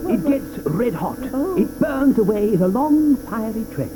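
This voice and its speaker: An actor playing an eccentric, excitable chocolatier who lectures, stammers and chuckles. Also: male voice, very high voice, unhurried, heavily acted, low-quality recording. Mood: breezy. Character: eccentric excitable male chocolatier, middle-aged. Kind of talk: 1980s radio drama acting.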